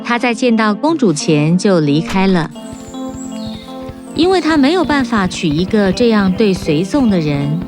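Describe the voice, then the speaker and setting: A woman narrates a children's fairy tale in a clear, even storybook manner. Her voice sits at a middle pitch. Music plays clearly beneath her. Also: measured pace. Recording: narration over prominent music.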